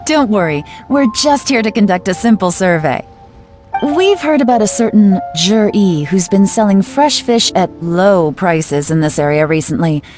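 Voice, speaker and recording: A woman's voice: mid-range voice, animated, unhurried, music in the background, lightly acted. A woman playing a composed, polite young investigator and playful schemer in a video game. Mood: polite, reassuring. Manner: smooth, courteous. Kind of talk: video game character acting.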